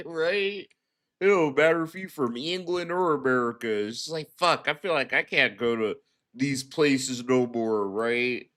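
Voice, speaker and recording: A man commenting on a clip with a loud voice, swearing freely and calling everyone "bro". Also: medium pitch, unhurried. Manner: profane, loud, says "bro" constantly. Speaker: streamer commentator. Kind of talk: casual reaction commentary.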